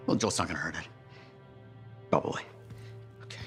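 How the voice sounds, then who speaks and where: An actor voicing a fidgety, absorbed inventor in a video game, gravelly and muttering with hesitant distraction. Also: male voice, a bass voice, lively intonation, brisk, a bit bright, soft background music, heavily acted.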